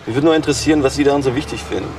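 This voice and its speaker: An actor playing a gruff, indifferent ex-convict in a crime radio drama, with a terse, blunt, offhand way of talking. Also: male voice, mid-range voice, racing, noisy background, close to an everyday voice. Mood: irritated.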